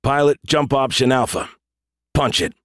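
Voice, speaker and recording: A man's voice: medium pitch, lively intonation, normal speed, a bit bright, no echo, studio quality, close to an everyday voice. Man playing a wisecracking space commander. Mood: commanding. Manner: sarcastic, casual, teasing. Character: wisecracking, irreverent male military commander of space pirates. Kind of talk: audiobook character dialogue.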